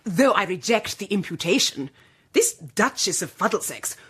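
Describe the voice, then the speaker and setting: An actress playing a haughty, prim English duchess who speaks with formal gentility in a radio comedy-drama. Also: female voice, mid-range voice, big pitch swings, normal speed, a bit bright, breathy edge, full character voice. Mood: prim, reluctant. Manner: haughty, formal, primly genteel.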